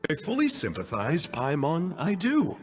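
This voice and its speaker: A youthful, scheming clerk from a video game, speaks in a high, wildly animated voice. He is pompous and wheedling, piling up fancy words with theatrical self-importance, over clearly audible music. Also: measured pace. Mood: mock wounded.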